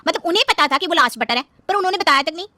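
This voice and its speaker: A woman's voice: extremely high pitch, animated, rapid-fire, bright, forceful tone, no room sound, voice pushed up, full character voice. A woman playing a harried, outspoken young working daughter-in-law in a family story, chatty and pert. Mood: complaining.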